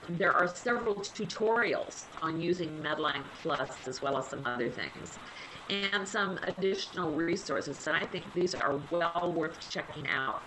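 Low-voiced nasal woman with a cold. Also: fairly bright tone, slight background noise, rough recording. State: has a cold, slightly nasal. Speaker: health library liaison presenting a webinar. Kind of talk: casual webinar presentation.

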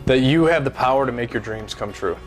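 Twenties male ex-ballplayer, loose unscripted answers full of "um" and restarts, office room with soft music bed. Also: mid-range voice. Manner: halting, many fillers and restarts. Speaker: former pro baseball player. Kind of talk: seated interview, casual recollection. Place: high-rise office by a big window.

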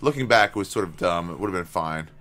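A man's voice: deep voice, animated, fast, fairly bright tone. A man co-hosting a comedy podcast in a studio, telling rambling, sheepish stories in crude banter. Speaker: podcast co-host. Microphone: desk microphone.

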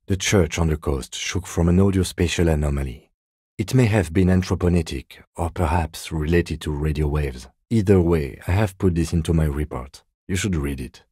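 Game role: dutiful police lieutenant, composed and measured, with a husky voice in a very dry, close recording. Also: male voice, rumbling low, mellow, no echo. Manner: measured, precise, formal, understated. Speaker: voice actor in a video game. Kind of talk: scripted game dialogue.

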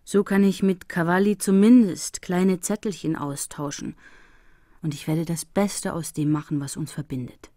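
A woman's voice: on the low side, fairly even intonation, normal speed, dark tone, dry recording, natural voice. A woman acting as a guarded, determined policewoman in custody in a crime audio drama.

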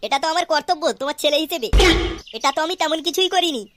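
Someone doing a squeaky cheeky cartoon son, exaggerated giggly schemer, pretty noisy track. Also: very fast, close to an everyday voice. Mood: smug. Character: mischievous young son, sheepish, boastful schemer. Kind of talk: comic Bangla cartoon dubbing.

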